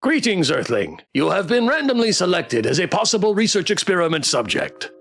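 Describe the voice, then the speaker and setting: A man's voice: high voice, very animated, a little fast, creaky, a bit bright, faint music behind, pitched above the performer's own voice, heavily acted. A man voicing a bureaucratic space alien reading an official letter in a formal, matter-of-fact way for a game. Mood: formal, salesy.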